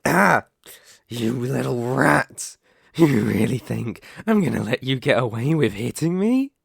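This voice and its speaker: A man's voice: medium pitch, expressive, vocal fry, husky, dry recording, clean studio sound, full character voice. A man playing a sadistic, predatory lamia in an ASMR horror roleplay, taunting and menacing. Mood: angry, threatening. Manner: taunting, menacing, slow deliberate delivery with gloating lilts. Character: sadistic, predatory male lamia, half-snake monster toying with prey.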